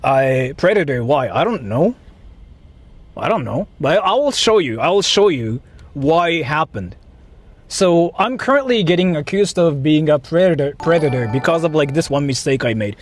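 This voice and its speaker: Animated higher-pitched man, casual livestreamer. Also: normal speed. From Japan. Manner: rambling, repetitive, profane. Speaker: livestream host. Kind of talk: casual livestream chat with viewers.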